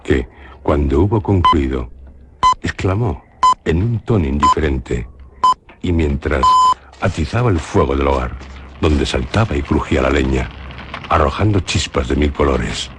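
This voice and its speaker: Brief: solemn male narrator from Spain, extremely deep voice, radio drama narration. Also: lively intonation, very noisy. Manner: measured, solemn storytelling.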